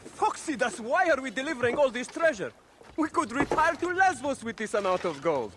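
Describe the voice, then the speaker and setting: Mercenary crewman, game: eager, grasping, very high, animated, noisy background. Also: brisk. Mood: tempted, questioning. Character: restless, greedy male mercenary crewman. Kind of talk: video game cutscene acting. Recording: clear noise behind the voice.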